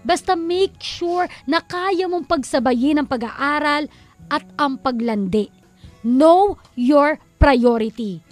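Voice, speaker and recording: A high-voiced Filipino woman co-hosts a radio advice show over soft background music. She talks in a colloquial, conversational style. Her delivery is emphatic and admonishing. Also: slow pace. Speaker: radio co-host. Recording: music playing behind the voice.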